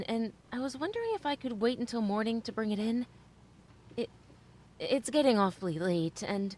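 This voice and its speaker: A woman plays a young mother in old-time radio drama. She is gentle yet practical, and her voice sits a little high. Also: natural voice. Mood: polite, brisk.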